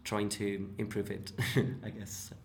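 Slow-reading Spanish-accented man. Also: lowish voice, monotone, noisy background. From Spain. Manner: deliberate, with occasional restarts on words. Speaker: English learner reading aloud. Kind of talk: careful read-aloud narration. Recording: roomy echo.

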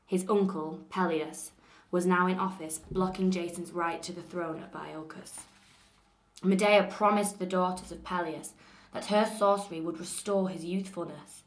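A young woman with a Lancashire accent reads a passage in a clear, measured way, then slips into relaxed, chatty talk. Her voice sits a little low, and the recording carries the echo of a roomy space. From northern England. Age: young adult. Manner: clear, measured reading; relaxed and chatty when talking freely.

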